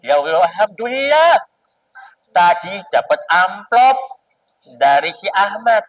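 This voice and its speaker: A man's voice: sits high, sing-song, measured pace, no echo, recorded from a distance, voice pushed down, poor audio. A kindly male religious teacher played by a storyteller, grateful and easily flustered. He exclaims and repeats himself as in a children's tale, with the voice some way from the mic.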